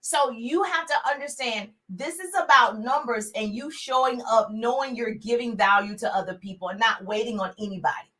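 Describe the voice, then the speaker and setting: Energetic woman business coach on a live stream, big voice, high and bright, preachy and direct. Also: normal speed, few pauses, low-quality recording. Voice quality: big, full voice. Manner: energetic, preachy, talks straight to viewers with repeated calls to share. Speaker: motivational speaker and business coach.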